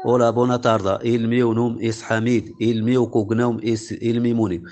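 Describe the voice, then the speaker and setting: A man's voice: mid-range voice, level tone, measured pace, darkish tone, slight background noise. A Moroccan man in his forties, a Berber native speaker, introducing himself simply and then reciting a short text. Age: 40s.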